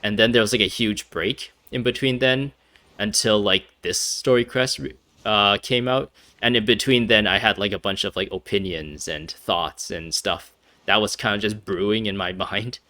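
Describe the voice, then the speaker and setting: A man's voice: lowish voice, moderate pace, pushed and bright, dry recording. A young man giving casual let's-play commentary, rambling and hesitant in a chuckly way, close to the mic. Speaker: gaming YouTuber. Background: faint game music.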